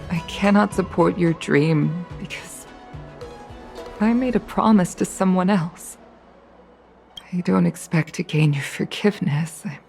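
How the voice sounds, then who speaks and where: A woman voicing a solemn, regretful Foxian veteran pilot in a video game, speaking in a measured, reflective way. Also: lowish voice, vocal fry, darkish tone. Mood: regretful, apologetic. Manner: slow, measured, reflective delivery. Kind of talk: English game dub, dramatic monologue. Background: music.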